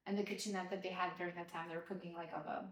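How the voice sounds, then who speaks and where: A woman's voice: lowish voice, little pitch movement, racing. A woman from Albania hosting a podcast, telling her childhood story in a reflective, casual way with many fillers. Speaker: podcast host, mother of co-host. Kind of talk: casual personal conversation. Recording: roomy, distant from the mic.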